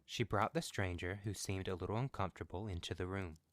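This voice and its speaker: A man's voice: sits low, steady tone, brisk, fairly bright tone, no echo. A man narrating a scripted story as a podcast reader.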